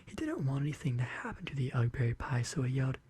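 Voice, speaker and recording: A soft-spoken narrator telling an ASMR bedtime story in a gentle, breathy whisper, slowly and deliberately, close to the microphone. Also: medium pitch, very animated, dry recording. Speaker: ASMR video host. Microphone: close studio condenser microphone.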